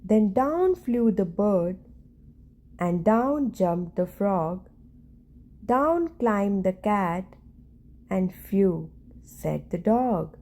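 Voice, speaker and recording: Slow, expressive woman reading a bedtime story. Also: mid-range voice. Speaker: storyteller reading a children's picture book. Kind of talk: read-aloud bedtime story narration.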